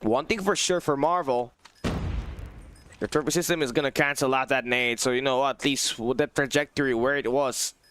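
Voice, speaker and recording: A man giving live play-by-play commentary on an esports match, his voice tired and hoarse, excitable and rising to shouts at big plays. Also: mid-range voice, moderate pace, no room sound. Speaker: esports caster. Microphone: phone on a low battery.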